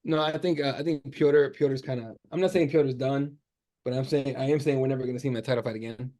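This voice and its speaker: A man speaking English as a second language, talking sports in an animated way, with lots of fillers and restarts as he thinks aloud. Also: medium pitch, fast. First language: not English. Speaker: MMA journalist guest. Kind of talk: casual sports podcast chat.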